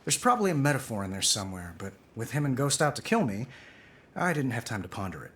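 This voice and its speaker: Dry, animated superhero tycoon. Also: male voice, mid-range voice, fast. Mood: wry narration. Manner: dry, flippant. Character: wisecracking armored superhero tycoon, weary and sarcastic. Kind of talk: cinematic video game narration and dialogue.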